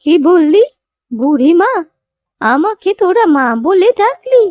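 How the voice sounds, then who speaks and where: A woman voicing a stern, commanding, vengeful old female ascetic in a cartoon, with drawn-out pronouncements. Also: very high voice, very animated, no room sound, highs cut off, exaggerated voice. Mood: stern, moved. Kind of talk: cartoon story dialogue.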